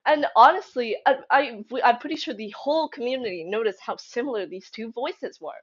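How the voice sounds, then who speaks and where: A woman's voice: a little high, lively intonation, brisk, husky. A young woman giving casual fan commentary, conversational and emphatic, thinking aloud as she goes. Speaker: fan theory video creator. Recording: slightly dull lo-fi home recording.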